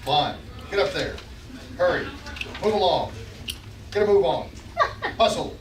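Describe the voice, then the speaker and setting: A man's voice: a little high, lively intonation, unhurried. Man acting pushy space villain, roomy distant recording. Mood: urgent, pushy. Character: pushy male space saboteur villain, threatening and commanding. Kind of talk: amateur script table read. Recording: roomy, far from mic, noisy room.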